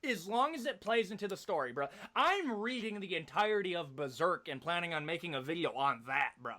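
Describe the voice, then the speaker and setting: High bright guy voice doing casual commentary, super slangy and emphatic. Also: lively intonation, a little fast. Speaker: video commentator. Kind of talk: casual opinionated video commentary.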